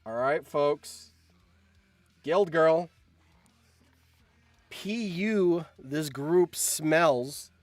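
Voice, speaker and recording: A man's voice: fairly high pitch, drawn-out words, pauses often, a little mellow, no echo, soft background music. A man hosting a livestream, chatting casually with viewers and thinking aloud, often repeating his phrases. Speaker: livestream host.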